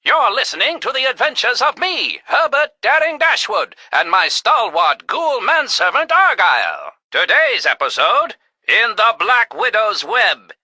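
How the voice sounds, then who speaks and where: A grandly pompous, trusting gentleman hero of an old-time radio adventure. His voice is high and very bright, theatrical and genteel, with sweeping intonation. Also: big pitch swings, measured pace, husky, no room sound, full character voice. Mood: grand announcing.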